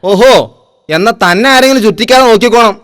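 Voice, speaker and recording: A man's voice: very high-pitched, fast talker, fairly bright tone, no room sound, studio quality. A man voicing a teasing, know-it-all friend who talks in warnings and jibes in a comic cartoon. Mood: mocking, warning. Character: mocking, know-it-all male village friend.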